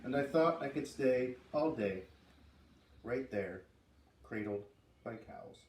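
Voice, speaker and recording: A man with a medium-pitched voice reads a children's story aloud. His delivery is expressive, with dramatic pauses. The recording is roomy and slightly distant. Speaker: storybook reader. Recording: roomy, slightly distant, faint background noise.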